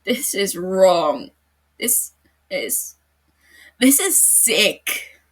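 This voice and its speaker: A woman voicing an earnest young male detective who is appalled and pleading, in a higher voice than her narration. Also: very animated, extremely slow, husky, full character voice. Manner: pleading, appalled, raised pitch. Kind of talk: podfic character reading.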